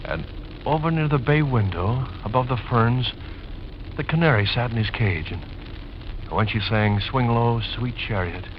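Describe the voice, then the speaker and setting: A man playing a gentle, wistful, polite widower obsessed with recreating his old home, in 1940s radio drama style. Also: medium pitch, unhurried, close to an everyday voice. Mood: earnest nostalgia. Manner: polite, earnest, nostalgic. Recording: dull, noisy old radio recording.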